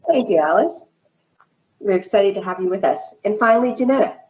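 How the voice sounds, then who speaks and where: Woman moderating a panel, measured. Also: mid-range voice, lively intonation, darkish tone, dull audio. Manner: measured, scripted, orderly.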